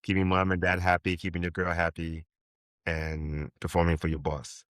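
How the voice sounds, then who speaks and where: Man, 50s, from Haiti, novelist guest; low, rambling delivery full of restarts, dry booth-like sound. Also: completely flat delivery, moderate pace, a bit bright, no echo. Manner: rambling, many restarts and ums. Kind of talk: interview conversation.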